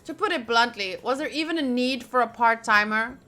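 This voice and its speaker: A woman's voice: fairly high pitch, brisk, sharp tone, soft background music. A woman streaming a game, reading its text aloud and chatting casually with playful asides.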